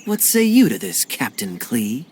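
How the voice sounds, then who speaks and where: A man's voice: medium pitch, wildly swooping pitch, a little slow, very noisy. A man playing a genial, old-fashioned gallant adventurer in a video game, speaking with florid, grand courtesy. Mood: jovial, encouraging. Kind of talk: animated game cutscene acting.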